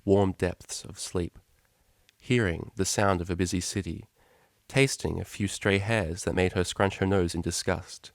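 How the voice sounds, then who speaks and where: A man narrates a story in a lowish voice. He reads in a measured, expressive storytelling style. The sound is dry, with very little room in it. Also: no room sound. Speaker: fan fiction reader, narrator.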